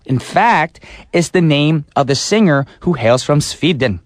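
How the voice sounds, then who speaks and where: A man hosts a radio music show, telling chatty, animated stories between songs. His voice sits a little high, and the studio mic sounds close and dry. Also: unhurried, no room sound. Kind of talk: casual radio DJ chat.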